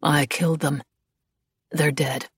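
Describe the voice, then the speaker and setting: A woman voicing a subdued young man in an audiobook, quiet and mumbling, with a hollow delivery. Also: low-pitched, flat delivery, unhurried, creaky, breathy edge, no echo, studio quality, exaggerated voice.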